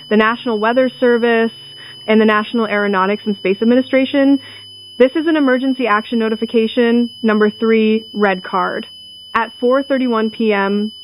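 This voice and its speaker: A woman playing a government representative reading a formal, solemn final emergency broadcast in a deliberate way. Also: mid-range voice, lightly acted. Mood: grave, formal. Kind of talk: scripted emergency broadcast announcement. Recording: noisy, humming radio-like recording.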